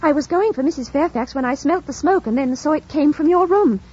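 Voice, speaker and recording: An actress playing an earnest, composed young governess in an old radio drama, speaking with a refined British accent and careful, formal phrasing. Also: female voice, sits high, expressive, fairly quick, a little mellow. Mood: respectful, earnest. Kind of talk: 1940s radio drama narration and acting. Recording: dull old radio recording. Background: music.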